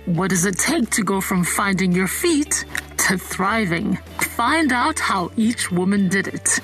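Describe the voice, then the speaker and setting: A woman reading a scripted podcast intro in a polished, measured way. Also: medium pitch, expressive, a bit bright, soft background music. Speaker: show announcer.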